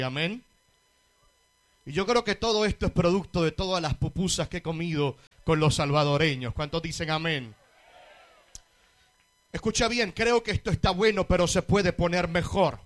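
A Puerto Rican man delivers a sermon in a very high-pitched voice. He speaks emphatically, builds on repeated phrases and addresses his listeners directly. The sound is dry and close, with little room around the voice. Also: a bit bright, dry recording. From Puerto Rico. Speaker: guest preacher. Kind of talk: sermon to a large congregation.

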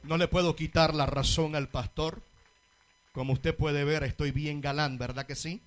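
A man's voice: on the high side, steady tone, moderate pace, faint music behind. A man with a Puerto Rican accent preaching a sermon to a congregation, emphatic and repetitive, addressing his listeners directly. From Puerto Rico. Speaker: guest preacher.

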